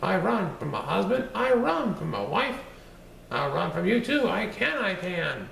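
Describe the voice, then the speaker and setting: A man voicing a cocky little male gingerbread cookie with a high, taunting singsong. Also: sing-song, unhurried, vocal fry, a bit bright, reverberant, slight background noise, full character voice.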